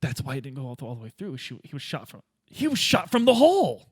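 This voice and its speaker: A man's voice: medium pitch, wildly swooping pitch, fast talker, slightly rough, no room sound, clean studio sound. A man playing a tabletop game, casually talking through his moves and thinking aloud. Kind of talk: casual improvised play.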